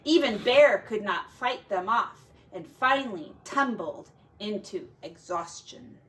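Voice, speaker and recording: A woman reading a children's folk tale aloud in an expressive, dramatic and deliberate way, like a teacher. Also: medium pitch, poor audio. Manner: dramatic, deliberate, with quiet asides to young listeners.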